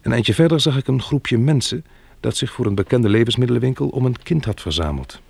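An actor playing a sober, polite survivor who narrates and speaks in a radio drama. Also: male voice, mid-range voice, lively intonation, a little fast, creaky, a little mellow, breathy edge, no room sound. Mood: grave, measured. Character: polite, sober sighted survivor recounting a catastrophe.

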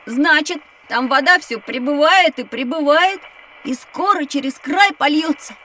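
A curious, cheeky little boy in a children's learning audio play. The voice is high and bright, a little off the mic. He speaks eagerly, in short exclamations. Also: a little slow, far from the mic, slight background noise.

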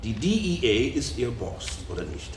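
Actor as insistent lawyer, swooping intonation, echoing room. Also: male voice, lowish voice, expressive, moderate pace, lightly acted. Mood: pointed, probing. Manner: insistent, pointed questioning. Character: probing, sardonic lawyer cross-examining a witness. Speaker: courtroom examiner questioning a witness. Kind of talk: radio docudrama courtroom acting. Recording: roomy echo, faint background noise.

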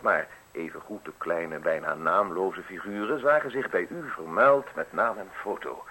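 An actor in a radio play playing a polite, insinuating state official with a formal, measured way of speaking. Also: male voice, fairly low pitch, creaky, fairly bright tone, heavily acted. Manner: formal, measured, courteous, deliberate phrasing. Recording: hissy, noisy old radio recording.

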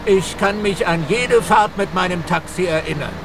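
An actor playing a proud but uneasy taxi driver in a German radio drama, earnest and wary. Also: male voice, sits high, normal speed, noisy background. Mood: proud, puzzled.

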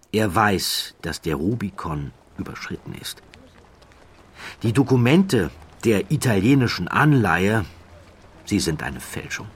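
A man giving scripted documentary narration in a measured, grave storytelling manner, dropping to a hush at tense moments. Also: low-pitched, a little hiss or hum.

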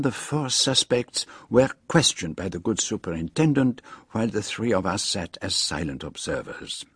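Radio drama detective: French-accented, middle-aged, courteous and observant, slightly husky, formal and exact. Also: male voice, mid-range voice, heavily acted. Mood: measured narration. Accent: French-speaking European. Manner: formal, precise phrasing. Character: polite, observant middle-aged male foreign detective, also narrating. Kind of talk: radio drama dialogue and narration.

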